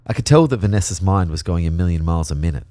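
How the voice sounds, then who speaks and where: A man's voice: sits low, lively intonation, racing, mellow, no echo. An actor playing an anxious everyman who narrates a sci-fi audio drama in a wry, understated way. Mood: wry, uneasy. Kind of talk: scripted first-person audio drama narration.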